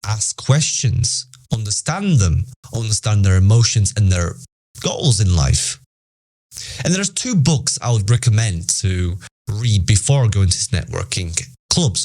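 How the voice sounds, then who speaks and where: Young British man, lively motivational talk. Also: fairly low pitch. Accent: British English. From Britain. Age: young adult. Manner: repetitive, emphatic, conversational. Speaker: podcast host.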